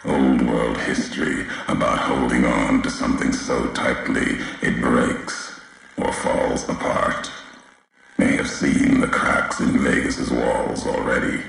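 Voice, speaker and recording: A vengeful, history-haunted man of the wasteland for a video game. His voice is extremely low and he speaks slowly, in cryptic fragments with heavy pauses. Every phrase rings with deep echo. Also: little pitch movement, fairly bright tone, heavily acted. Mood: solemn, knowing. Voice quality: very deep, gravelly. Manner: cryptic, deliberate, clipped phrases with weighty pauses. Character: brooding, vengeful male wasteland wanderer obsessed with history. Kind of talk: slow brooding game dialogue monologue. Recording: heavily echoing voice, faint background noise.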